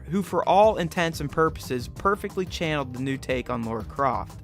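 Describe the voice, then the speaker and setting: Guy doing a scripted movie review, voice a bit high, faint music behind him. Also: fairly bright tone. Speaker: film reviewer and host. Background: background music.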